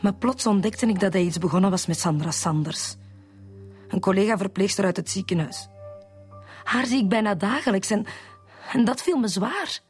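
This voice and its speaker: An actress with a Flemish accent playing a lovesick, betrayed woman confessing haltingly to the police in a radio drama. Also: mid-range voice, breathy edge, soft background music. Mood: hesitant, confessing. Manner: halting, repeats words.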